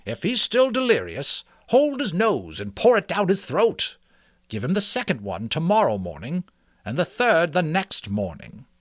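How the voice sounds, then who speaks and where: A man's voice: on the high side, wildly swooping pitch, measured pace, no room sound, clean studio sound, pitched above the performer's own voice, heavily acted. A man voicing a very old, wise mouse healer in a storybook reading, speaking precisely and emphatically like an authoritative doctor. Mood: firm, cautioning. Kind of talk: dramatic storybook character reading.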